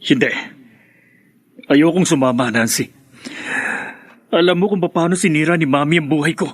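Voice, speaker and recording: An actor playing a bitter, stubborn older brother in a radio drama, firm and resentful. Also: male voice, on the high side, normal speed, no room sound, natural voice. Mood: bitter, refusing.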